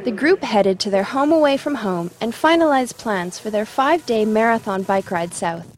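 A woman reporter giving calm, measured voice-over narration for a documentary news piece. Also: fairly high pitch, slight background noise, poor audio.

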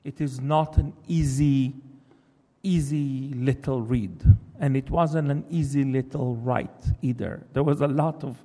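A man's voice: mid-range voice, steady tone, mellow. A man whose first language is Hebrew, a novelist giving a thoughtful talk in English and often restarting his sentences. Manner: thoughtful, halting, with many restarts. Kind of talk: reflective public talk. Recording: long reverb of a hall.

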